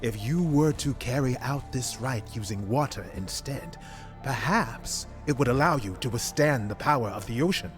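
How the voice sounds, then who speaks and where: A man playing a careful scholar in a video game, explaining in a measured way. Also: mid-range voice, expressive, soft background music, close to an everyday voice. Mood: cautious. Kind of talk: video game cutscene acting.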